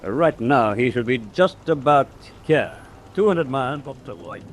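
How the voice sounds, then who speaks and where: An actor playing a deferential junior naval officer in a wartime radio drama, reporting efficiently and respectfully. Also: male voice, mid-range voice, expressive, measured pace, slight background noise, close to an everyday voice.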